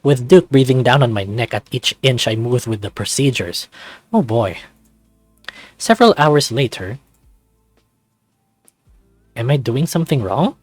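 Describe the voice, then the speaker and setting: A man's voice: medium pitch, expressive, measured pace, long pauses, dry recording. A man casually reading a visual novel aloud and commenting on it as he plays. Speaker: let's player of a visual novel. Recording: music playing behind close dry voice.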